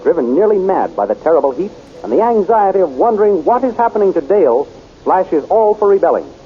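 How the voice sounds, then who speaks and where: A male announcer narrating a radio serial in a dramatic, animated way, on a muffled old radio recording. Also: high voice, quick pace.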